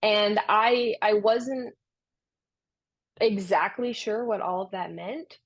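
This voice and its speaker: Guest role: young woman from Romania, earnest and searching for words, with a gravelly edge at a middle pitch. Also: steady tone, takes it slowly. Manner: earnest, with frequent restarts and fillers. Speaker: guest, tutoring business founder. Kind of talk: conversational interview answers.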